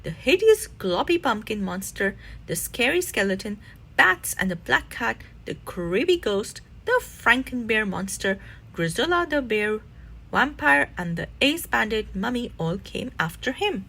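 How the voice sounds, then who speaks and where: Children's read-aloud narrator, female: dramatic phrasing, bright and forceful, husky edge. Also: on the high side, sing-song, a little slow. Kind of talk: expressive storybook narration.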